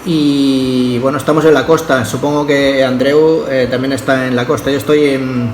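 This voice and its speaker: A man's voice: medium pitch, fairly even intonation, normal speed. A man making a casual ham radio contact, hesitating and often checking that he is understood. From Catalonia, Spain. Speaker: amateur radio operator. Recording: roomy echo with faint noise.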